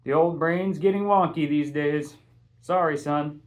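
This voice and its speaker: A man's voice: on the high side, fairly even intonation, measured pace, pitched above the performer's own voice, lightly acted. A man voicing a kindly, forgetful old shopkeeper in an audiobook, with a fatherly, questioning manner. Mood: puzzled.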